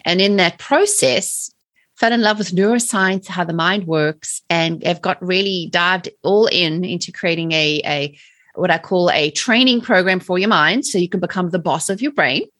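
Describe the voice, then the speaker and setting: A woman in her forties with a South African accent, chatting lively as an interview guest. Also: on the low side, normal speed. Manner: lively, chatty, laughs often. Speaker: guest, mindset trainer. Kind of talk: casual interview chat.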